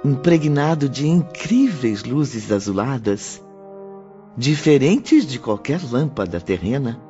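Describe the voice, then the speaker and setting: A man's voice: mid-range voice, sing-song, takes it slowly, dark tone. A man reading scripted narration for an audio book drama. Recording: dull audio under prominent music.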